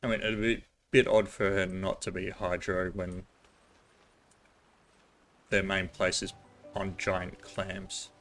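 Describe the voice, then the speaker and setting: A male game streamer with a fairly low voice chats informally over his play. He thinks aloud in an offhand way, with faint music behind him. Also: little pitch movement, slow, long pauses, a bit bright. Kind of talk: casual livestream commentary.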